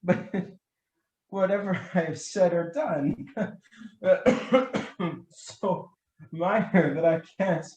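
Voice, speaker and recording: A woman acting a drunken, trusting nobleman in a radio play, stammering and halting, with coughs and weak laughs breaking up the words. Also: fairly low pitch, a little mellow. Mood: desperate, pleading. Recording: roomy, echoing sound.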